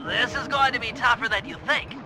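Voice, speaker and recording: An actor playing a nerdy, careful young turtle gadget genius in a video game, nasal and precise. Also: male voice, very high-pitched, fairly quick. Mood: earnest briefing. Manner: precise, earnest, bookish briefing. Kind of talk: animated video game cutscene acting. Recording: loud music under the voice. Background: game music.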